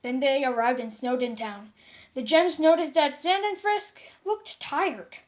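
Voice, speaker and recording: Woman narrator, high-pitched, amateur story reading, stumbling delivery. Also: measured pace. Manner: stumbling, repeating words as she reads. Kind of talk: amateur fan fiction read-aloud.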